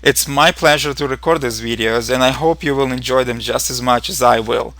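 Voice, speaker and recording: A man's voice: mid-range voice, fairly quick, fairly bright tone, dry recording. A young man from Ukraine with a Ukrainian accent, earnestly narrating a programming tutorial with frequent ums and uhs.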